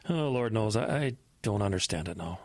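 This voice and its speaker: A man's voice: lowish voice, animated, brisk, no room sound. A man acting a concerned, cautious church acquaintance in an audio drama, hesitant, folksy and tactful. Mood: tactful, puzzled.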